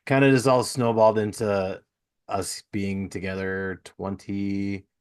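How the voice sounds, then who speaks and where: Male host, middle-aged, low register, casual conversational interviewing. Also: flat delivery, a little slow, darkish tone, smooth. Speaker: podcast host.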